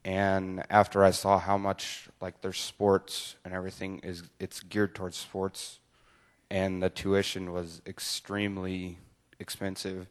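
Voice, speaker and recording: Young male grad, very deep and flat, long reverb. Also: unhurried. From Colorado. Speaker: graduating student.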